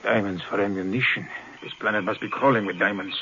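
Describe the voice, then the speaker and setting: An actor playing a bossy, stubborn, trigger-happy space explorer in 1950s radio drama, speaking in clipped commands. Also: male voice, on the low side, fairly even intonation, fairly quick, noisy background, close to an everyday voice. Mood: agitated. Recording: muffled vintage 1950s radio recording.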